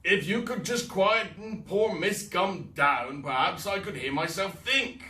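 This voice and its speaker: Clipped, bellowing retired major read by a man, slow and emphatic. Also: high-pitched, bright, forceful tone, voice pushed up. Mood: blustering. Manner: clipped, bellowing military bluster. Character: blustering retired military major, older man. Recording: roomy echo.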